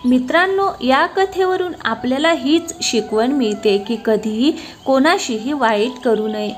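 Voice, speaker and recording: A woman's voice: high-pitched, a little slow, faint music behind. A woman narrating a scripted moral story as its storyteller.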